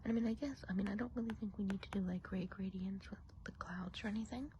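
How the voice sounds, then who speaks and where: A woman playing a friendly, gossipy witch of the woods in a soft, breathy ASMR roleplay, often whispering and musing gently. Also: mid-range voice, slight background noise, lightly acted. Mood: musing, chatty. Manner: chatty, gentle, unhurried musing.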